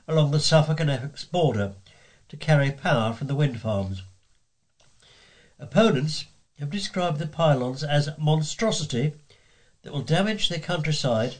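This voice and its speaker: A man's voice: a little high, low-quality recording. A man reading scripted local news as a volunteer newsreader.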